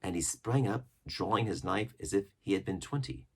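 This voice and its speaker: A man reading an adventure story aloud as expressive scripted narration. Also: low voice, normal speed, husky. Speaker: audiobook narrator.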